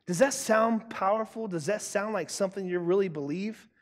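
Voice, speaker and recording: A young man preaching a sermon to students, emphatic and loud, repeating his key lines. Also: sits high, normal speed. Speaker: youth pastor. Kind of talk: youth sermon. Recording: long hall reverb.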